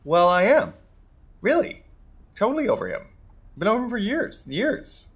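A man's voice: high voice, big pitch swings, moderate pace, husky, heavily acted. A man playing a flustered, defensive husband.

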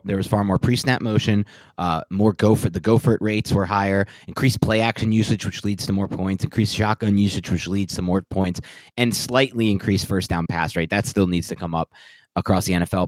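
A man's voice: low-pitched, darkish tone, no echo. A man co-hosting a casual sports podcast, explaining plays and picks in detail and working through long lists. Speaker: podcast co-host.

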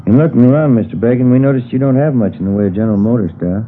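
An actor as a brisk police detective partner. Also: male voice, mid-range voice, phone-quality sound, a little hiss or hum, close to an everyday voice. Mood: matter-of-fact. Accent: American. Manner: casual, matter-of-fact. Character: easygoing male police detective partner, inquisitive. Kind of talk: 1950s radio drama dialogue. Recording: old band-limited radio broadcast.

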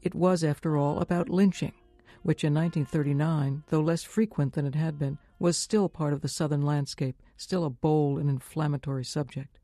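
A woman's voice: low-pitched, steady tone, moderate pace, warm tone, dry recording. A woman presenting a scripted radio documentary as host and narrator. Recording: music playing underneath. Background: music.

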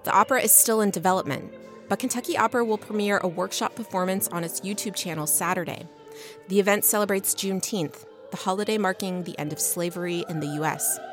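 A woman's voice: medium pitch, fast, faint music behind. A woman reporter reading a scripted radio news feature.

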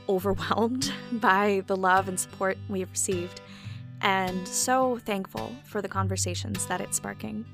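A woman hosting a casual podcast interview over a video call, enthusiastic and quick to laugh. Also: mid-range voice. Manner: warm, enthusiastic, laughs often. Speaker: podcast host and audio drama creator.

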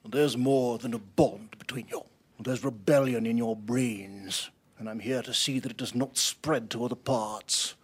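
A husky, menacing king's captain. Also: medium pitch, a little slow. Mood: cold, imperious. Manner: clipped, imperious, threatening. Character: stern, menacing British naval captain serving the king.

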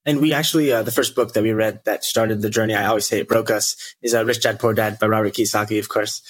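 A man's voice: lowish voice, fairly even intonation, fast talker. A man of twenty from the United States, a podcast guest, telling his story in an earnest way. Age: 20s. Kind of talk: casual interview talk.

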